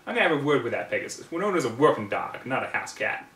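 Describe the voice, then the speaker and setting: A man's voice: mid-range voice, very animated, fast, fairly bright tone. Man doing a folksy country mare, roomy recording. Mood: annoyed. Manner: folksy, blunt, drawling rural wording. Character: plainspoken, no-nonsense country mare, a protective older sister. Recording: roomy, distant mic, faint noise.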